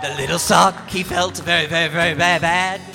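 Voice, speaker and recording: A man's voice: fairly high pitch, fairly even intonation, brisk, gravelly, raised voice, big-room sound, music in the background. A man playing a vain villainous showman who sings and banters with the crowd on a live stage. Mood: smug crooning. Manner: smarmy crooning showman with stage patter. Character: vain villainous male lounge-singer showman. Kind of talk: live comedic radio-play musical performance.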